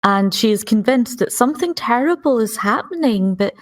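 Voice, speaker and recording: A woman's voice: fairly high pitch, animated, normal speed. A woman, a player on a roleplaying podcast, introducing the character she is playing.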